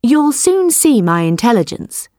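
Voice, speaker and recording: A woman voicing a quick-thinking, nervous male farmer, speaking with a lifted, eager delivery. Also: on the high side, very animated, dark tone, no room sound, clean studio sound, voice pushed up. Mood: cunning.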